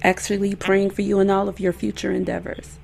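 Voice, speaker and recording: A woman's voice: medium pitch, level tone, brisk, creaky, a little mellow. A woman in her thirties hosting a faith podcast, giving thanks and wrapping up an interview in an earnest way. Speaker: podcast host. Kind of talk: interview wrap-up and outro.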